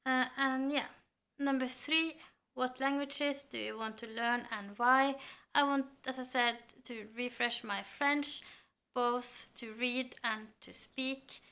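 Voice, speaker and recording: A woman's voice: sits high, steady tone, slow, sharp tone, clear, resonant tone. A woman in her thirties with a Norwegian accent, chatting to the camera at home about books, thoughtful and a little hesitant. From Norway. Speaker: book vlogger. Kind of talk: casual chat to camera. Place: home room lined with bookshelves. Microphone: camera at close range.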